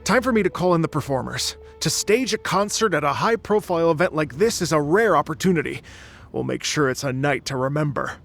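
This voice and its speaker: Earnest musician, lightish creaky voice. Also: male voice, a little high, animated, brisk, fairly bright tone, soft background music. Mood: grateful, inspired. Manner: earnest, courteous, a little flustered. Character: earnest, hopeful, polite male musician. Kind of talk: anime video game voice acting.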